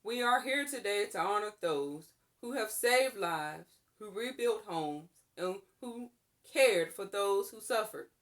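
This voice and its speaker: A woman's voice: on the low side, a bit bright. Woman as a formal, proclaiming city mayor. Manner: ceremonial, proclaiming. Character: grateful, formal city mayor honoring heroes at ceremony.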